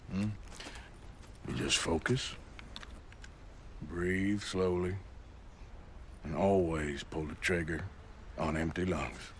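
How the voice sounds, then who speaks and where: An actor playing a weary, plain-spoken, wry American outlaw gunslinger in a video game, with a laconic, drawling, folksy manner. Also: male voice, deep voice, fairly bright tone, a little hiss or hum. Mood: gentle, instructive. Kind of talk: cinematic video game acting.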